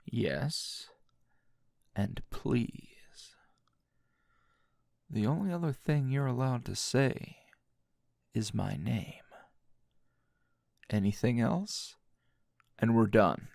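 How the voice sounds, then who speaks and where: A man's voice: mid-range voice, very slow, gravelly, pauses often, darkish tone, dry recording, studio quality. A man playing a teasing, dominant, seasoned anime hero as a tender lover in an intimate ASMR roleplay, murmuring breathily and slipping into whispers. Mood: teasing, commanding.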